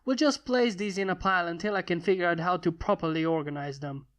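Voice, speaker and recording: A story narrator voicing an eccentric, gruff male inventor who talks in a formal, pompous, wry manner. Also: medium pitch, fast talker, a bit bright, natural voice. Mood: musing.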